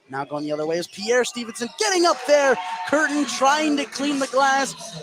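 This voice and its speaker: A man doing live play-by-play radio commentary of a basketball game, lifting his voice on big plays. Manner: voice rises into shouts on big plays. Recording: clear gym noise behind the voice. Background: indoor high school gymnasium ambience.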